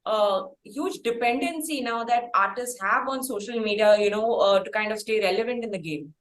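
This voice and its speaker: A woman's voice: a little high, moderate pace, reverberant. A woman with an Indian English accent hosting a conversational interview, talking in long, wordy, circling questions. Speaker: interviewer, podcast host.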